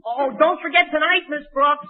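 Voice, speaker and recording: An actor playing an eager, brash teenage schoolboy in a 1940s radio comedy. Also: male voice, very high voice, moderate pace. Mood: earnest. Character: excitable, eager, clumsy teenage high school boy. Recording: noisy, narrow 1940s radio recording.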